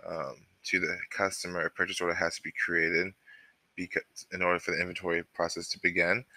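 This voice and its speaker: A man giving an informal step-by-step software walkthrough as a trainer, explaining and thinking aloud as he goes. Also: deep voice, dry recording, poor audio.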